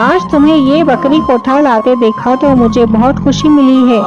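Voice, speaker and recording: A bossy, self-satisfied lion king from a cartoon story, voiced by a woman, lowered from her high voice. He talks in a grand, commanding manner. Background music runs throughout. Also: poor audio. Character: proud bossy male lion, king of the jungle.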